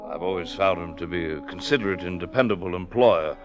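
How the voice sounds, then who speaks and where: Actor as low-voiced prim clerk, dull old radio. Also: male voice, fairly quick, music in the background, lightly acted. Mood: formal, recounting. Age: middle-aged. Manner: stiff, formal, hesitant. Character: prim, loyal, deferential middle-aged male chief clerk. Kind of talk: 1940s radio drama acting.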